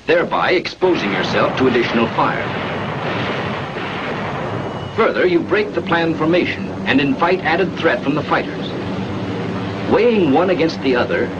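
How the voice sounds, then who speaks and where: An American man reading scripted 1940s military training film narration in an authoritative, instructional manner. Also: medium pitch. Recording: muffled, noisy 1940s film soundtrack.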